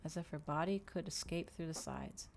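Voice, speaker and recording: A woman's voice: low voice, huge pitch swings, moderate pace. A woman narrating a novel aloud as a scripted ASMR reading. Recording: noticeable background noise.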